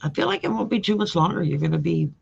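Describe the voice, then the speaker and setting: A woman's voice: fairly low pitch, sing-song, quick pace, gravelly, a little mellow, breathy edge, lo-fi sound. A woman with a Cajun Louisiana accent hosting a live stream, giving a casual, folksy tarot reading with frequent ums. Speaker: host and tarot reader.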